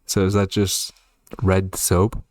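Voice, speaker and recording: A man hosting a horror game playthrough, reading and commenting in an expressive, theatrical way. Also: low voice, slow pace, no echo, clean studio sound. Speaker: gaming video host.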